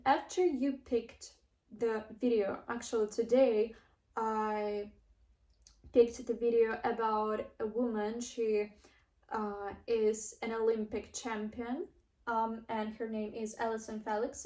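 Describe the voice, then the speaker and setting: A young woman with a Russian accent explains an English-learning method in an unhurried, earnest way, pausing on fillers and often starting her sentences again. Her voice sits a little high and her pace is slow. The recording carries a noticeable echo from the room. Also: a little mellow. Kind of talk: casual teaching monologue to camera. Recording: echoey room.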